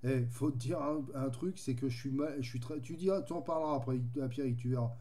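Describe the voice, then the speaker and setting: A man's voice: medium pitch, fairly quick, warm tone. A man chatting casually as a radio hobbyist, easygoing and rambling in his way of talking. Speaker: amateur radio operator.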